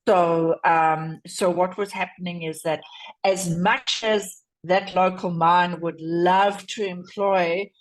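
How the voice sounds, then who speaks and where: Slow-spoken South African woman panelist. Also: fairly low pitch, fairly even intonation. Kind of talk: panel discussion answer.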